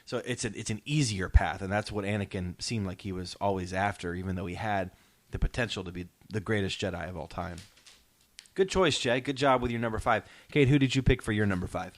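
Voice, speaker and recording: Low-voiced man hosting a casual podcast. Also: fairly quick. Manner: explanatory, encouraging. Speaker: podcast host.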